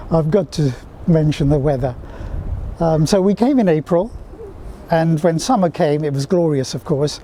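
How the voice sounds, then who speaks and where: A middle-aged man from Fiji, answering interview questions in a reflective, measured way. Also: on the high side, warm tone, a little hiss or hum.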